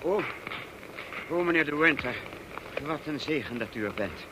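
An actor playing a flustered, deferential servant of a great house in a radio drama, hesitant and stammering. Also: male voice, on the high side, expressive, normal speed, background noise, close to an everyday voice. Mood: flustered, grim.

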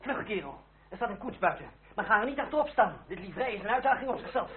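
A narrow, faintly noisy old radio recording. a proud, wounded young revolutionary with a very animated pitch. He is formal, cutting and sardonic, every phrase edged with reproach. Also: quick pace. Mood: sardonic, scornful. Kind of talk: old radio play acting.

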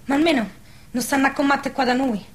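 A woman's voice: high-pitched, fairly even intonation, fast, lightly acted. An actress playing a stubborn, rude young peasant woman in a radio drama, blunt and rustic, with a central Italian accent. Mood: resigned, wistful. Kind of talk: stage-style radio drama acting.

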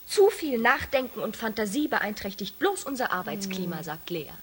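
An actress playing a quick-witted space crew member in a science-fiction radio drama, pertly questioning and correcting. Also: female voice, medium pitch, very animated, slightly rough. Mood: skeptical. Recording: hissy radio play recording.

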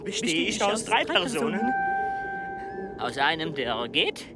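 An actor playing a dazed, rambling mountain climber in a radio play, disoriented by altitude. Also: male voice, on the high side, big pitch swings, a little slow, loud music behind the voice.

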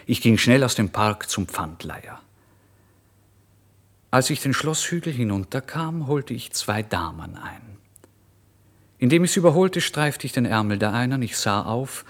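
A man's voice: medium pitch, sing-song, a little slow. An actor playing an impoverished, irritable and impulsive male writer, telling his story in a theatrical, emphatic radio monologue. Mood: wondering, unsettled. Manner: theatrical, emphatic, swinging between hushed and loud. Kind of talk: literary first-person radio monologue, dramatised narration.

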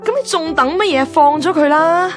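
An actress playing a blunt, nagging young best friend in a Cantonese radio drama, with a Hong Kong accent and a brisk, dramatic, teasing delivery. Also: female voice, very high voice, faint music behind. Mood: exasperated. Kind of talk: radio drama acting and narration.

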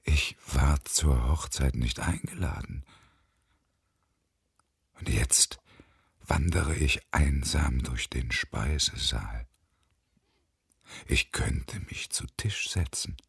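An actor playing a bitter, desolate unemployed engineer around fifty in a radio play, with a husky, brooding delivery. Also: male voice, rumbling low, slow pace, long pauses, no room sound, heavily acted. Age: middle-aged. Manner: brooding, often whispery delivery. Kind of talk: German radio play acting, monologue.

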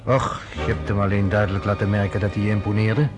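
An actor playing a wry, skeptical American friend in a radio drama, teasing and lecturing. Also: male voice, lowish voice, little pitch movement, fast, close to an everyday voice.

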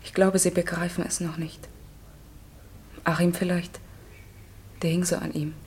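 A woman's voice: on the low side, flat delivery, unhurried, darkish tone, slight background noise, natural voice. An actress playing a grieving, bitter widowed mother in a German radio crime drama, weary and subdued.

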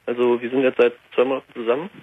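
A man's voice: medium pitch, flat delivery, racing, breathy edge. An eighteen-year-old man calling in to a late-night talk show, speaking hesitantly with many fillers. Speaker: caller. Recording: tinny phone line.

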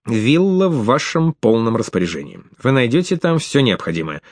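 A man's voice: medium pitch, big pitch swings, moderate pace, no echo, studio quality. A man reading the lines of a polite, gallant man in his thirties in an audiobook. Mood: courteous. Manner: polite, smooth, smiling. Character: gallant man of thirty-five, courteous host.

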